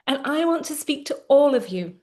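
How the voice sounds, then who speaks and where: Woman with a high-pitched, gentle voice. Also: expressive, moderate pace. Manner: gentle, instructive, many fillers. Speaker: therapist and coach. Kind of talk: guided tapping session.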